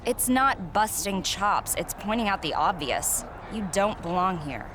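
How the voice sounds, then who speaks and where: A woman's voice: mid-range voice, lively intonation, unhurried, noisy background, natural voice. An actress playing a scornful, cocky female wrestling rival in a video game cutscene, with a sarcastic, mocking delivery. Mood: dismissive, sarcastic.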